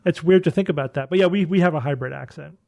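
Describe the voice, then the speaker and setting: Casting: podcast host, man, Southwestern Connecticut accent, rambling chatty delivery, slightly high voice, dry close mic. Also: quick pace, gravelly, dark tone, no room sound. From Connecticut, USA. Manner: rambling, chatty, restarts often. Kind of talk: casual panel chat.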